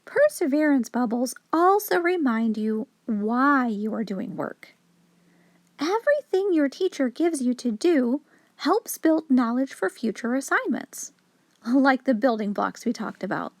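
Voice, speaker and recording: A woman reading a children's picture book aloud expressively, in a clear, instructive storytelling manner for young children. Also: high-pitched, sing-song, measured pace, dry recording. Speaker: children's story reader, host.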